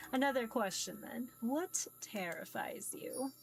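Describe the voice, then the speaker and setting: A woman voicing a mysterious ancient being in a visual novel, formal, measured, amused and curious about humans. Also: on the high side, lively intonation, vocal fry, soft background music, full character voice, poor audio. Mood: amused, probing. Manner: formal, measured, archaic phrasing. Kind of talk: visual novel character read-aloud.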